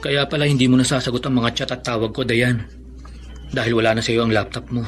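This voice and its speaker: An actor playing a protective, insistent young boyfriend in a radio drama. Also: male voice, mid-range voice, little pitch movement, fast talker, music in the background, close to an everyday voice. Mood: indignant, concerned. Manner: earnest, insistent. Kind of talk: Filipino radio drama acting.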